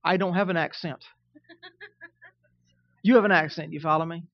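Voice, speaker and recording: A man in his fifties with a Southern twang delivers a sermon in a higher-than-usual voice, speaking conversationally and pausing often to check that his listeners are following. The recording is dry and a little dull. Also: steady tone, dry recording. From Kansas. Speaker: pastor.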